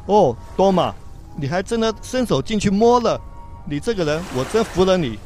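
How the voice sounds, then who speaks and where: An actor playing a steady, chiding old fisherman in a biblical radio drama, with a theatrical, admonishing delivery. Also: high-pitched, normal speed, faint music behind. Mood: impressed.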